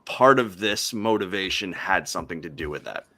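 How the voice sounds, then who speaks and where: A man co-hosting a sports podcast, speaking in a measured way and carefully qualifying his points. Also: sits low, lively intonation, bright, forceful tone. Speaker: podcast co-host. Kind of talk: casual sports chat.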